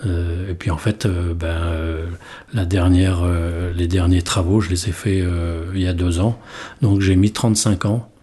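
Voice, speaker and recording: Halting low-voiced man from Normandy. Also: flat delivery, warm tone, breathy edge. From Normandy, France. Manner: halting, many fillers and restarts. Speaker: village mayor. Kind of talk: interview testimony.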